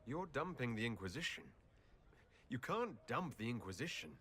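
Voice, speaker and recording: A man's voice: mid-range voice, very animated, normal speed, fairly bright tone. A man playing a composed, polite heroic leader in a video game, courteous and plain-spoken. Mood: indignant. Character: polite, composed male military-order leader, hero. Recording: faint background ambience noise.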